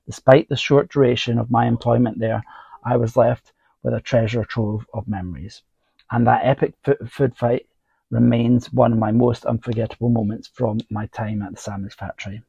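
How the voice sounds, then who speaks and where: A man reading scripted stories aloud, then chatting casually with fillers, his voice going husky. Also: medium pitch, dark tone, dry recording, highs cut off. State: voice getting husky. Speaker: podcast host.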